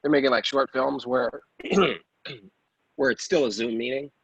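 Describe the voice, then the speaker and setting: A man's voice: medium pitch, fairly even intonation, moderate pace, a bit bright, breathy edge. A man giving a casual interview as an independent filmmaker, rambling conversationally and often repeating phrases. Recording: dull, roomy lo-fi sound.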